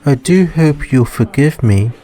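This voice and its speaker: Man putting on a prim, deadpan woman, unhurried. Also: fairly high pitch, voice pushed up. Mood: prim, unimpressed. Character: emotionless, prim woman, curt and fussily formal. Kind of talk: visual novel voice acting.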